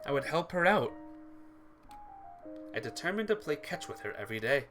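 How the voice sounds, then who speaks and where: A man narrates a visual novel aloud with a slightly high voice and very animated pitch. He reads with some drama and occasionally stumbles and restarts a phrase. Faint music plays behind him. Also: normal speed. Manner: stumbles over words and rereads them. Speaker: let's player reading a visual novel aloud. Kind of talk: reading game text aloud, dramatised narration. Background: game music.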